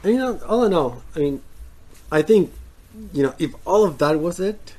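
A man's voice: a little high, animated, moderate pace, mellow. A man co-hosting a casual film-review podcast, who rambles with many fillers and restarts. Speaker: podcast co-host.

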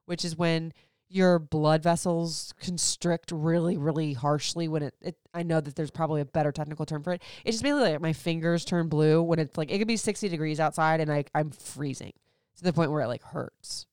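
A woman's voice: deep voice, fairly even intonation, a little fast, a little mellow, clean tone, dry recording, clean studio sound. A woman in her thirties from Florida, chatting candidly as a host answering listener questions, rambling with lots of "like"s. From Florida, USA. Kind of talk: casual chat.

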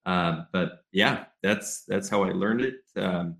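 Deep-voiced man with an American accent. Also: creaky, a bit bright, a little hiss or hum. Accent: American English. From Ecuador. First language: Spanish. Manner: measured, businesslike explaining with frequent ums. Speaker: guest, travel company executive. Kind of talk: podcast interview. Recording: roomy echo.

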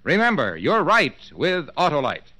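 A man with an American accent giving a punchy, emphatic sales pitch as a 1940s radio commercial announcer. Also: wildly swooping pitch, normal speed, bright, forceful tone. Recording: vintage 1940s radio broadcast.

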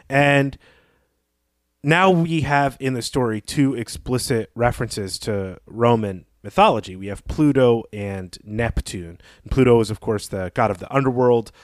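A man co-hosting a podcast, explaining his reading of a story in a measured, conversational way. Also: mid-range voice, no echo, clean studio sound. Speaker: podcast host. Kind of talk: conversational literary commentary.

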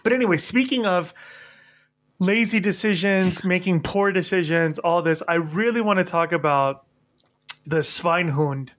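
A man's voice: high-pitched, unhurried, a bit bright. A man hosting a podcast, telling rambling self-mocking stories in casual chat and laughing often. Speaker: podcast host.

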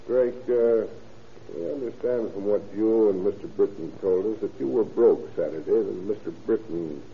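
Stern dry american cop questioning people, old radio drama, phone-like noisy sound. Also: male voice, fairly low pitch, normal speed, vocal fry. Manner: dry, probing, authoritative. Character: probing police lieutenant, wry, controlled and stern. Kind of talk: old-time radio detective acting. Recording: tinny, noisy vintage radio recording.

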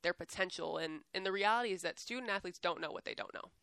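She is a woman in her thirties, from San Antonio, chatting as a guest on a podcast with earnest, upbeat energy and frequent repeats. Her voice carries a gravelly fry at the ends of phrases and sounds smooth and clear. The studio sound is dry and close. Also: on the low side, fast talker, fairly bright tone, no echo. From San Antonio, Texas. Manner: earnest and upbeat, laughs often, repeats words. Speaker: guest, athletics staff director. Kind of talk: casual interview chat.

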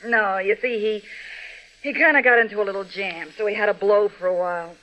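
A woman's voice: mid-range voice, steady tone, brisk, pushed and bright, close to an everyday voice, poor audio. An actress playing a slangy, good-hearted gangster's girlfriend with an American accent in an old-time radio drama. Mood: amused, evasive. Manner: slangy, tough-talking, chummy. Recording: vintage radio broadcast sound.

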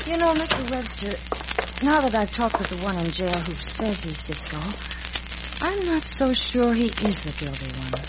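Western radio heroine, female actor: defiant young rancher's daughter, lively melodic delivery, muffled vintage recording. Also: medium pitch, normal speed, very noisy, lightly acted. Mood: troubled. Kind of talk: old-time radio western acting.

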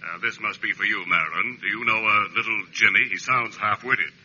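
An actor playing a mild, hesitant, baffled father with halting delivery in an old radio sitcom. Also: lowish voice, pushed and bright. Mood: puzzled. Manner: dithering, halting. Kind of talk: 1940s-50s radio sitcom acting. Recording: dull hissy old radio recording.

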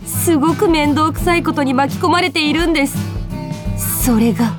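A woman's voice: sits high, normal speed, close to an everyday voice. An actress playing an exasperated, flustered 24-year-old office worker who narrates her own troubles in a radio drama. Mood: matter-of-fact, weary. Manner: animated, flustered, grumbling. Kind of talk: Japanese radio drama monologue, anime-like. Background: loud music.

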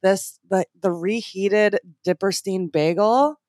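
A young woman chats on a podcast at a middle pitch, giggling and chattering while she eats. Her voice is dry and close, with no room around it. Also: slow, darkish tone, dry recording. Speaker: podcast guest. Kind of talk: casual podcast chat.

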